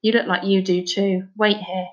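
A woman's voice: medium pitch, level tone, moderate pace, natural voice. Stern dad for a storybook, played by a woman: blunt, scolding, troubled, slightly dull recording. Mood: resigned, confiding. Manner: scolding, blunt, folksy phrasing. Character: stern, anguished Scottish father, gruff and scolding.

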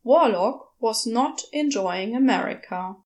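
A woman's voice: fairly high pitch, expressive, measured pace. A woman reading a story aloud as its narrator, in scripted prose narration. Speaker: podfic narrator.

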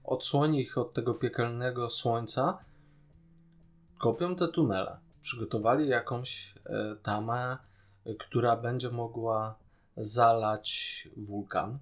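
A man's voice: medium pitch, steady tone, slow, faint music behind. A man with a Polish accent co-hosting a podcast, chatting casually and critically in an incredulous, griping way. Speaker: podcast co-host. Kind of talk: casual critical discussion of a series.

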